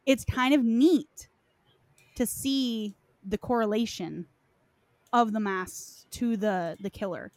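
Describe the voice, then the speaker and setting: A woman's voice: a little high, slow pace, no room sound. A woman in casual, informal conversation, speaking loosely and conversationally as she shares her opinions. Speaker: podcast co-discussant on game lore. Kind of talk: casual chat.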